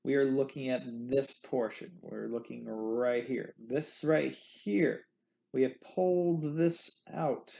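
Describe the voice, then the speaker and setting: Gravelly, playful man giving a lecture. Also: mid-range voice, takes it slowly, dark tone, muffled recording. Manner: playful, jokey explainer with candy analogies. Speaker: anatomy instructor. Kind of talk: recorded slide lecture. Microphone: screen recording with webcam.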